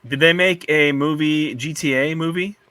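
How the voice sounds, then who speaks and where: A man's voice: a little high, normal speed, bright, forceful tone, no room sound. A middle-aged man chatting casually as a podcast panelist. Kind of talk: casual chat.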